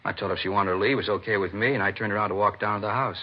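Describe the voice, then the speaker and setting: Talkative american guy grilled by cops, lowish husky voice, touchy, old muffled radio sound. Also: steady tone, very fast. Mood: explanatory. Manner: conversational, indignant when pressed. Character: defensive, talkative male civilian under police questioning. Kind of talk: 1950s radio drama acting. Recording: dull vintage radio recording.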